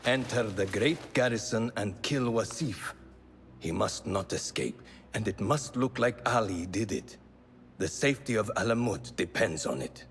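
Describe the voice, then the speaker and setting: An actor playing a grave secret-order leader in a video game, measured and authoritative, with a husky voice. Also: male voice, fairly low pitch, expressive, fairly bright tone, slight background noise. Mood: grave, commanding. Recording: long hall reverb.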